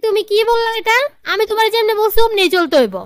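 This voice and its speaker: A woman's voice: very high-pitched, animated, fast talker, no echo, clean studio sound. A woman voicing a bossy, money-grabbing young cartoon wife with a commanding, nagging manner and a Bangladeshi accent. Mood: bossy, scolding. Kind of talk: comedy cartoon dialogue.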